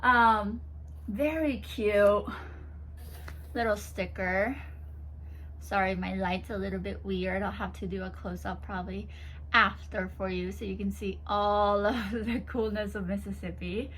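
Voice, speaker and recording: A woman's voice: mid-range voice, steady tone, a little slow, fairly bright tone, slight background noise, rough recording. A woman chatting casually to camera as she unboxes a gift box, bubbly and giggly, seated on a bouncy ball at home. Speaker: vlogger unboxing a swap box.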